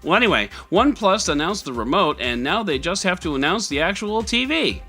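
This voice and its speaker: Sarcastic, lively man hosting tech news. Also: high-pitched, brisk, slightly rough, faint music behind. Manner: sarcastic, conversational. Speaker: podcast host. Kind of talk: scripted tech news roundup with wry asides.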